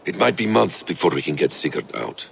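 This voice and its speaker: An actor playing a patient, shrewd Viking war counsellor in a video game, deliberate and persuasive. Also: male voice, a bass voice, big pitch swings, vocal fry, slight background noise, exaggerated voice. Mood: measured. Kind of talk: dramatic video game acting.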